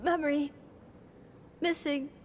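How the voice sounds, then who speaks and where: Brief: robot girl, high and slow, clipped phrasing, restrained and literal. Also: fairly even intonation, noisy background. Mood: troubled. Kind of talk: anime game voice acting.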